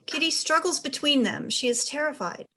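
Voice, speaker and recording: A woman's voice: sits high, normal speed, sharp tone. A woman giving scripted narration, reading out the stage directions of a play.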